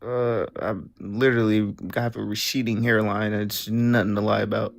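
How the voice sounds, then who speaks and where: A man's voice: lowish voice, little pitch movement, normal speed, vocal fry, no room sound, clean studio sound. A young man arguing in a slangy, offhand way on a debate call, often hesitating. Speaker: caller, debate guest. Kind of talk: casual argument.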